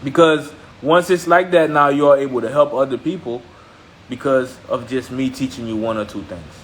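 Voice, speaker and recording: A man's voice: medium pitch, steady tone, moderate pace, slight background noise, low-quality recording. A man from Haiti speaking as a trading mentor on a live stream, blunt and emphatic, repeating points and checking in with listeners. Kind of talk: motivational live-stream talk.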